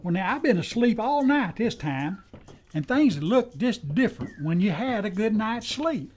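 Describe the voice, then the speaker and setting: Western radio deputy, played by a man: high, swooping American voice, rustic and fussy, loyal grumbler, noisy track. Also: very animated, measured pace, darkish tone. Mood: chatty. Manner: folksy rustic drawl, fussy and deferential. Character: folksy, grumbling but loyal deputy to a frontier marshal. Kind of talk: old-time western radio play acting.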